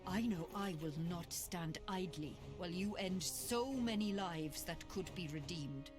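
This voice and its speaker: A woman's voice: lowish voice, measured pace, music in the background, close to an everyday voice. A woman playing a noble, resolute hero commander in a video game, firm, earnest and formal. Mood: defiant.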